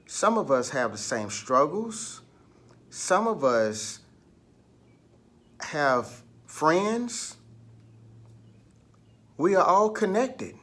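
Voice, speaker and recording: A man's voice: on the high side, big pitch swings, extremely slow, long pauses, fairly bright tone. Man reading stories aloud to children. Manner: addresses children directly with questions. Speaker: children's story reader. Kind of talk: storytelling read-aloud for children.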